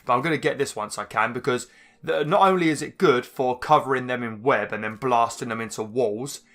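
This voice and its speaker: A man hosting a let's-play, slightly hoarse and chatty as he rambles through game menus. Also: medium pitch, fairly quick, a bit bright. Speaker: gaming channel host. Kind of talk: casual let's-play commentary.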